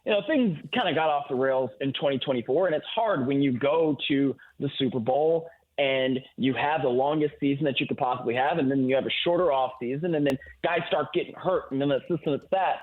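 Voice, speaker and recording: A man's voice: mid-range voice, brisk. A man giving football analysis as a guest in a sports radio interview, heard over a remote call. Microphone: phone or remote call. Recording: thin, phone-like line.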